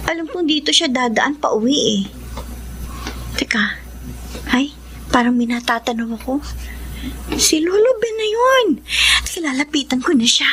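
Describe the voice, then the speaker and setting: A woman's voice: high-pitched, a bit bright, slight background noise. A woman playing a caring, respectful young granddaughter in a Filipino radio drama, polite and earnest. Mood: searching.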